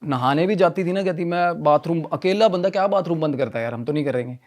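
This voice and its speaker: A man telling a story in animated conversational style, often repeating himself and mixing in English phrases. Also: on the high side, fast talker. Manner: repetitive, mixes Hindi with English phrases. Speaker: podcast guest telling a ghost story.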